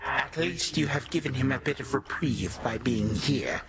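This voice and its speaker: A man's voice: medium pitch, expressive, normal speed, gravelly, background noise. An actor playing an ancient titan tyrant in a video game, pompous and sardonic, speaking in formal archaic phrases with menacing grandfatherly condescension. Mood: haughty, sardonic. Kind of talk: theatrical video game villain acting. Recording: studio voice over game music.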